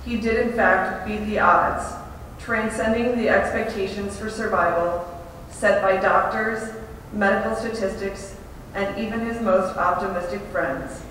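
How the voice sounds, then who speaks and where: A woman delivering a prepared memorial tribute in a measured, deliberate way with emphatic pauses. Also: fairly even intonation, a bit bright. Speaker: widow giving a memorial tribute. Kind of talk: prepared eulogy. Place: large echoing hall at a memorial service. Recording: very echoey hall, distant, faint rumble.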